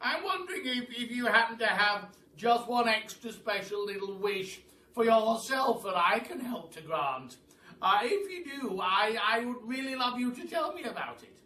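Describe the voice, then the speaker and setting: A man voicing an excitable, kindly old aristocratic duke in a storybook, blustering and stammering as he repeats his words. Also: extremely high pitch, moderate pace, voice pushed up, heavily acted. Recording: echoey room, distant mic, faint noise.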